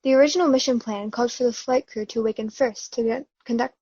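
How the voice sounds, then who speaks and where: A dull, close and dry recording of a woman playing an earnest sci-fi mission explainer. The voice is fairly high, reading audio-drama exposition in careful, scripted sentences. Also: fast talker, warm tone, husky, no room sound. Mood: earnest, explanatory. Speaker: student actor.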